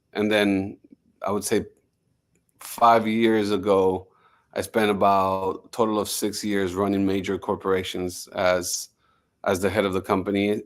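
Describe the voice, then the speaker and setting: Low-voiced confident businessman. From Miami, United States. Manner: fluent, confident, with frequent restarts. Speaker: music executive guest. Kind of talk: podcast interview.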